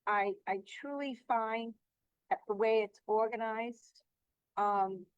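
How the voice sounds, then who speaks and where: Woman, association president, earnest, emphatic, slightly high, dry dull recording. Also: slow, clean tone, dry recording. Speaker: association president and keynote speaker. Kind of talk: testimonial interview.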